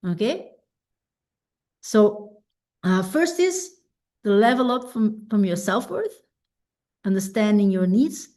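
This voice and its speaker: A woman coach whose first language is Dutch, teaching a self-help masterclass in an unhurried, reflective way and often checking in with "right?". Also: medium pitch, sing-song, dark tone.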